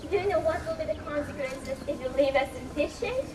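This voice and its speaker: A woman playing a scornful, sharp-tongued young daughter in an amateur stage play, declaiming her lines. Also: high-pitched, fast, lightly acted. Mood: defiant. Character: defiant, scornful young daughter of a troubled household. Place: school stage performance, recorded from a distance. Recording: echoey, noisy hall, distant mic.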